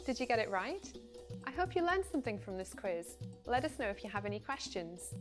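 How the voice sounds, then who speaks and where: A woman introduces a listening quiz in an upbeat, encouraging way. Her voice is a little high, with faint music behind her. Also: very animated, normal speed. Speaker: quiz host.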